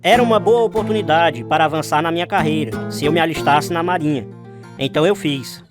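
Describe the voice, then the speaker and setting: Guy acting as earnest devout young navy trainee, radio drama, highish voice, guitar music under him. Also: fairly quick, lightly acted. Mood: reflective. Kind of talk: radio drama narration with acted dialogue.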